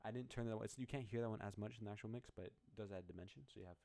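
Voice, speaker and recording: A man with a very low voice casually walking through his own song's project file, rambling and poking fun at himself. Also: racing, creaky, mellow. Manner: rambling, self-deprecating, many restarts. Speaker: musician explaining his song.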